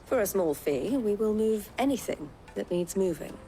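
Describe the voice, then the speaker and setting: An actress playing an assured, shrewd woman who leads a trading caravan in a fantasy game, speaking in formal, gently wry, measured phrases. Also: medium pitch, animated, slight background noise, lightly acted. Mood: assured, persuasive. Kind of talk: scripted fantasy game voice acting. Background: background music.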